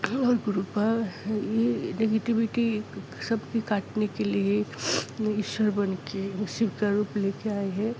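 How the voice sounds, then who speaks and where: A woman with a sore, hoarse throat giving an earnest devotional testimony, repeating thanks in halting phrases. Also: medium pitch, little pitch movement, background noise.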